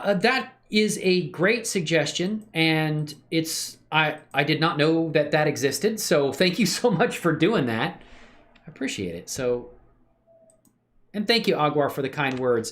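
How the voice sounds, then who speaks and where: Casual man with very lively, swinging pitch. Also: unhurried. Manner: laid-back, slangy, appreciative, thinks aloud. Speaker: livestream host showing 3D animation work. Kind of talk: casual chat with live viewers.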